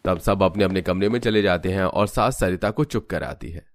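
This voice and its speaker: A man's voice: deep voice, moderate pace, faint music behind. A man narrating a scripted cartoon family story.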